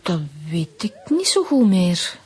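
A woman's voice: mid-range voice, big pitch swings, takes it slowly, slightly rough, faint music behind, heavily acted. A woman playing a fussy, affected, guarded singing teacher in a radio drama. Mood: guarded, evasive. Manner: affected, haughty, evasive.

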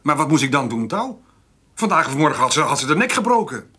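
An actor playing a troubled middle-aged farmer in a radio play, husky, with hesitant, plainspoken speech that often restarts. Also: male voice, on the high side, big pitch swings, brisk, sharp tone, full character voice. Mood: defensive. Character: troubled farmer, rough-spoken, brooding over his mother.

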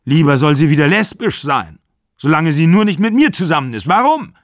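A man's voice: mid-range voice, expressive, moderate pace, dry recording, clean studio sound. A man voicing a furious, bitter aging actor of sixty-five who rants and accuses. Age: 60s. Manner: ranting, accusing, shouting.

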